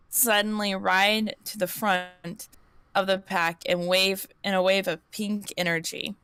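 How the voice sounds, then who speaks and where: A woman's voice: mid-range voice, a bit bright, smooth, no echo. A woman streamer reading an interactive story aloud, often restarting words she misreads. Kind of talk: live-stream story narration.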